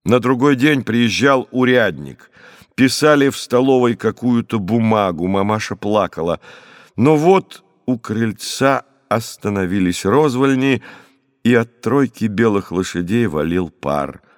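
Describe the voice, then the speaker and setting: A man narrating an audiobook story expressively, with dramatic, clearly phrased scripted reading. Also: lowish voice, animated, measured pace, dry recording, studio quality.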